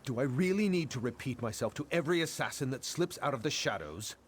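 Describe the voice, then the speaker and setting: A man's voice: medium pitch, expressive, quick pace, a little hiss or hum, natural voice. A man voicing a haughty, impatient nobleman in a fantasy game, pompous and formal. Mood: irritated.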